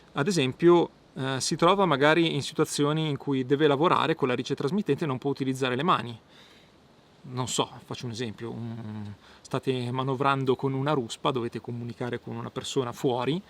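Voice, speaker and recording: A man's voice: mid-range voice, gravelly, a bit bright. Man explaining a product step by step. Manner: explanatory, step-by-step, with hesitant pauses. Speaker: product reviewer. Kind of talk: informal product review to camera.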